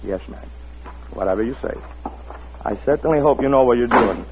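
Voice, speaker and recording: A man's voice: medium pitch, brisk, background noise, natural voice. A man acting a sly, menacing foreign schemer in an old radio thriller, with a sardonic, mock-polite manner. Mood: wry, coaxing. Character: sly, teasing foreign man held at gunpoint, menacing when armed. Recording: muffled vintage radio recording with music.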